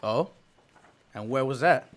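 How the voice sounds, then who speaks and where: A man's voice: medium pitch, animated, slow. A man playing a stern, watchful frontier marshal, terse and authoritative, in an old-time radio western. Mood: steady, dry.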